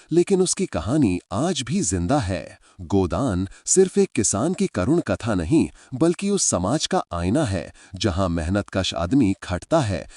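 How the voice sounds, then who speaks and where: A man's voice: fairly low pitch, dark tone, dry recording, studio quality. A man telling a story with feeling, speaking to his listeners as friends. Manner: emotive, addresses listeners as friends. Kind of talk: dramatic storytelling narration.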